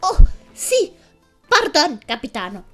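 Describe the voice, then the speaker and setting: A woman voicing an excitable, blurting sergeant in a comic storybook. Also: sits high, a little slow, soft background music, pitched above the performer's own voice, exaggerated voice. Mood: flustered. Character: excitable, blunt, eager sergeant in a comic tale.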